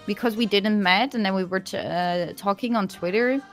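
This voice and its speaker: A woman's voice: mid-range voice, moderate pace, faint music behind. A woman guest in a casual online chat, giggly and enthusiastic. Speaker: co-host guest.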